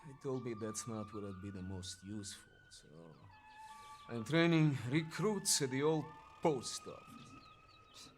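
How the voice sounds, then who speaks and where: An actor playing a cocky, bantering young soldier with a Russian accent in a cinematic war game. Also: male voice, medium pitch, unhurried, soft background music, lightly acted. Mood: frustrated. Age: young adult. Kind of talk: cinematic video game acting.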